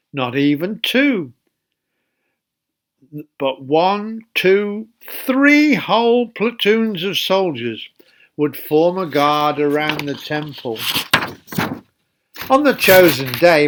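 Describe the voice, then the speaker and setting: A man reading a children's Bible story in a deliberate, emphatic way, speaking directly to young listeners. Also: on the high side, a bit bright. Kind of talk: expressive children's Bible storytelling, then gentle address and prayer.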